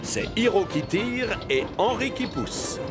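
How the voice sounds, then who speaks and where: A man narrates a children's story in a fairly high voice with very lively intonation. He is an expressive storyteller, and music plays clearly behind him. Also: slow pace.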